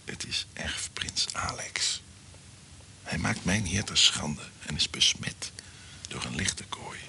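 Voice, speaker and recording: An actor playing a sly, amorous court conspirator in a Dutch radio drama, insinuating and sometimes murmuring. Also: male voice, very deep voice, animated, slow, vocal fry, bright, forceful tone, whispered, full character voice. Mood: secretive. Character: scheming amorous male courtier, sly conspirator seeking accomplices.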